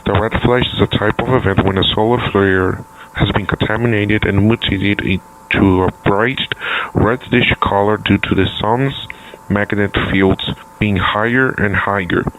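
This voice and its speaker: A man playing a solemn official who gives a measured, formal scripted address to the public about a catastrophe. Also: fairly low pitch, steady tone, a bit bright, poor audio. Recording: faint background noise.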